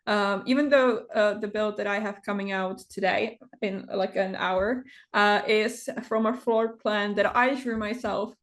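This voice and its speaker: A woman in her twenties, speaking English as a second language, talks on a video call as an interview guest, hesitant and full of pauses and fillers. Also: mid-range voice, steady tone. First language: not English. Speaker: interview guest, game builder. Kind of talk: casual conversation.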